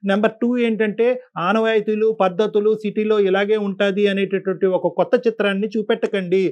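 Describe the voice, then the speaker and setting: A man hosting a phone-in counselling talk. His voice sits high and moves quickly, and he admonishes earnestly, repeating himself for emphasis. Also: little pitch movement, darkish tone. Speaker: show host and counsellor.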